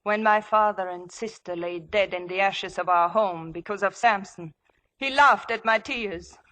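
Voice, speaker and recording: A seductive, vengeful ancient temptress in a 1950s radio drama. Her voice is bright and mid-pitched, with formal, deliberate phrasing. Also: poor audio. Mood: silky, scheming.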